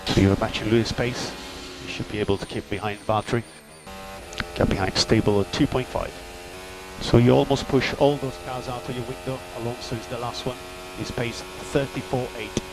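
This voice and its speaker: A man speaks as a race engineer over team radio, in a medium-pitched voice. He gives short, matter-of-fact technical updates in clipped phrases. A clear layer of hum and mechanical noise sits behind him. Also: fairly even intonation, normal speed.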